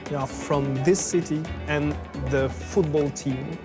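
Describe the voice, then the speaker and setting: A man's voice: a little high, fairly even intonation, slow pace. Male interviewee, earnest and explanatory, music bed behind. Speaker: local interviewee. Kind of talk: documentary interview.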